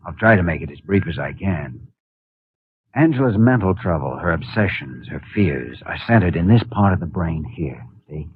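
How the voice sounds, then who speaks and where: An actor playing an earnest, steady young doctor in a 1950s radio drama. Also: male voice, low-pitched, normal speed, husky, dry recording, exaggerated voice. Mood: explaining. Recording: tinny lo-fi 1950 radio broadcast.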